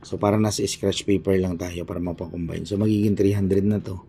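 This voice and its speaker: Man, Filipino accent, husky, dark mellow tone, informal teacher, thinking aloud, repetitive step-by-step explanation. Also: sits low, fairly even intonation, brisk. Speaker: accounting teacher. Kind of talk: informal recorded lesson walking through a worked example.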